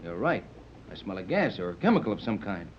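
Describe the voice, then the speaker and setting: An actor playing a commanding, level-headed yacht captain who gives clipped orders in a 1930s radio serial. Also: male voice, low voice, sing-song, a little fast, a little hiss or hum. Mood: tense, alert. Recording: dull, muffled 1930s radio recording.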